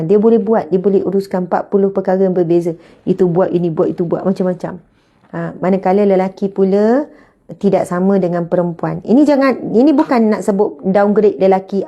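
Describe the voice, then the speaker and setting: A woman's voice: medium pitch, mellow, highs cut off. A woman in her late thirties with a Malaysian Malay accent, giving a chatty religious talk as a teacher, often adding pious exclamations. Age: 30s.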